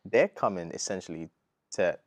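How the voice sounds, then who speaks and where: A man's voice: low voice, normal speed, no echo. A British man of thirty, a gospel artist talking earnestly and casually in an interview, full of slang. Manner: earnest, animated, slangy, says bro a lot.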